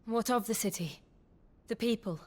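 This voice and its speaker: A woman playing a gentle, composed warrior companion in a fantasy game, earnest in manner. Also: a little high, moderate pace, close to an everyday voice. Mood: concerned. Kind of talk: cinematic game dialogue.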